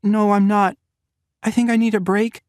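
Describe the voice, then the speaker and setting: A man voicing a frightened, exhausted teenage girl in an audiobook, his voice pushed up high. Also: flat delivery, moderate pace, a little mellow, dry recording, clean studio sound, lightly acted. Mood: pleading.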